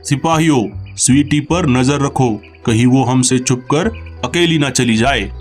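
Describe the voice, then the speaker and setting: A stern royal bird father acted by a man in a children's cartoon, with a mid-pitched voice. He is firm and protective, with music underneath. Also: measured pace, natural voice. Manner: firm, commanding. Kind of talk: Hindi children's cartoon dialogue.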